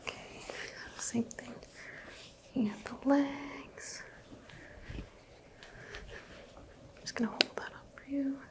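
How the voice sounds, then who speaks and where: A woman whispering gently as a doctor in an ASMR medical exam roleplay, asking soft questions. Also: high voice, level tone, takes it slowly, long pauses.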